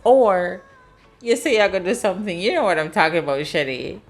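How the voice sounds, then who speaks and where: A Jamaican woman in her thirties chats casually and tells lively stories. She acts out other people's lines in sudden high voices. Her voice sits a little low for a woman. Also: brisk, faint music behind. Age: 30s. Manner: animated storyteller, acts out dialogue in high voices. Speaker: host. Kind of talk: casual chat.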